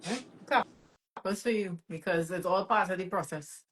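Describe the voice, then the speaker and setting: A woman hosting a casual interview, asking questions in an unhurried way with short affirmations. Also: fairly low pitch, fairly bright tone, a little hiss or hum. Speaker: interview host.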